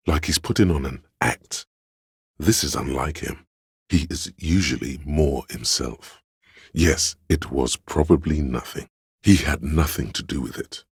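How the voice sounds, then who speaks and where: Sly flattering inner voice, extremely low bass, husky rasp, grandiose courtly diction, conspiratorial, video game acting. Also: male voice, no room sound, clean studio sound, full character voice. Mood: knowing, measured. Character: theatrical, sly, flattering inner voice of a detective, courtly lie-sniffer. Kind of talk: scripted video game voice acting, mock-Shakespearean.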